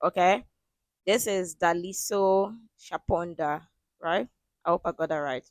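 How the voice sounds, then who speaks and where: A woman's voice: on the low side, measured pace, no room sound. Woman hosting casual reactions, dry close sound. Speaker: reaction video host.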